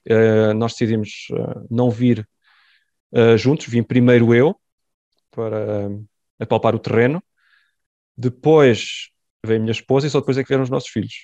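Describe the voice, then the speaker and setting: A middle-aged man with a European Portuguese accent, answering interview questions in a measured, hesitant way with many pauses. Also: medium pitch, steady tone, mellow. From born in France, raised in Portugal. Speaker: guest. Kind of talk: conversational interview. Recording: dull dry lo-fi sound.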